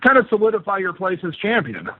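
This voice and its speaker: A man's voice: sits high, big pitch swings, fast talker, a little hiss or hum. A male sports journalist putting long, hesitant, rephrased questions to people at a press conference. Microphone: phone. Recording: dry, narrow telephone conference line.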